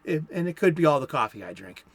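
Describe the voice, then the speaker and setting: A man's voice: a little high, very animated, fast. A man from Boston hosting a solo comics talk show, chatty and playful with rambling asides.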